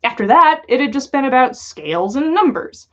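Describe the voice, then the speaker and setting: A woman reads a story aloud in a fairly high voice that moves up and down a great deal. She leans on dramatic pauses and stretched words, and the livestream audio is slightly dull and lo-fi. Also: sing-song, fairly bright tone. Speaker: podcast host reading listener-written fan fiction.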